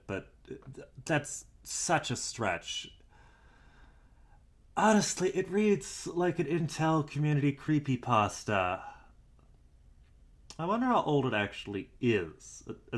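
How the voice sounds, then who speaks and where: A man's voice: mid-range voice, fairly bright tone. Weary, skeptical investigator played by a man. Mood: doubtful, resigned. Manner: musing, hesitant, sighing, dryly skeptical. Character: weary, doubtful male investigator recording private audio logs. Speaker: investigator and show host character. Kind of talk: audio drama monologue as dictated log.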